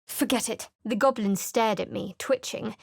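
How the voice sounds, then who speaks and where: A woman playing a guarded, wry, secretive young cleric adventurer in a fantasy video game, with a cool, measured, sardonic delivery. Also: a little high, creaky, breathy edge, no room sound, studio quality. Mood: measured, hushed.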